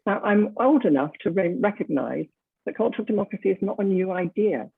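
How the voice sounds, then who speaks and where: A woman's voice: mid-range voice, lively intonation, fairly quick, vocal fry, breathy edge, no echo. Woman in her seventies, tinny call audio. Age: 70s. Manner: candid, reflective, laughs easily. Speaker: guest speaker. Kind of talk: talk on a video call.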